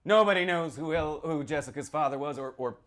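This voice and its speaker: Man acting haunted folksy small-town storyteller, theatrical and halting. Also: a little high, close to an everyday voice. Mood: wry, emphatic. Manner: folksy, halting, rhetorical asides, swings between hush and outburst. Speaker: author reading from his novel. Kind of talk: theatrical dramatic reading, first-person monologue.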